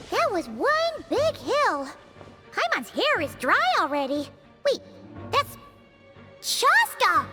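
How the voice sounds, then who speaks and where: A woman voicing a tiny, excitable companion girl who talks about herself in the third person. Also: extremely high pitch, heavily acted. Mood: excited. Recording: game scene with music.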